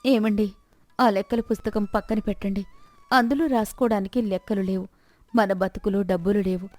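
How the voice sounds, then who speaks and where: A woman's voice: mid-range voice, fairly even intonation, moderate pace, a little mellow, no echo, natural voice. A woman voicing a poor, discontented village wife who complains and insistently nags her husband in a folk tale. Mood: tearful complaint.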